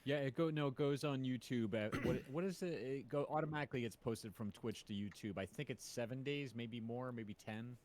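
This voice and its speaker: A man's voice: mid-range voice, brisk, few pauses, a little mellow. A man co-hosting a podcast, explaining things in casual chat. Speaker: podcast co-host.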